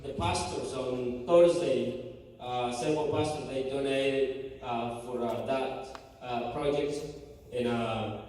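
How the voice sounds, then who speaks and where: A man from Guatemala with a Guatemalan Spanish accent, a native Spanish speaker, giving a halting church testimony full of fillers and restarts. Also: medium pitch, fairly even intonation, a little mellow, slight background noise. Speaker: mission team member giving a church report. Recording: echoey church hall from far off.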